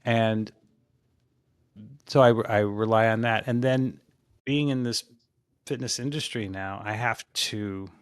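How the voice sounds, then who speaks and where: A man in his sixties, a podcast guest, talking in a relaxed, rambling way about his fitness habits, often restarting his sentences. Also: on the low side, slow, pauses often, smooth, no room sound, clean studio sound. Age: 60s. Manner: rambling and reflective, with frequent restarts. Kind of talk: casual interview chat.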